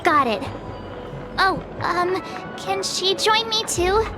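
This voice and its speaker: A woman voicing a bubbly, cheerful teenage girl adventurer in a video game, perky, casual and expressive. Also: extremely high pitch, takes it slowly, exaggerated voice. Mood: nervous, willing. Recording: game music behind the voice. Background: background music.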